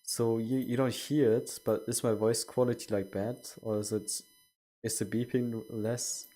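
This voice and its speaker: A man's voice: mid-range voice, animated, a little slow, warm tone. A young man streaming a game, chatting casually and rambling with many restarts. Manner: rambling, self-correcting. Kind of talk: casual chat while gaming.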